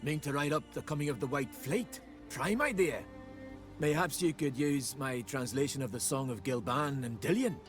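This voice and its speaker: An actor playing an eager, eccentric island scholar in a fantasy game, using folksy archaic words. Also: male voice, medium pitch, moderate pace, soft background music, natural voice. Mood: hopeful. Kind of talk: video game cutscene dialogue.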